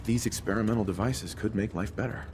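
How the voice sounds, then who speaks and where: A man plays an earnest vault experimenter in a video game. His voice is fairly low with a heavy creak, firm and principled. Faint noise sits behind him. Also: quick pace, darkish tone, lightly acted.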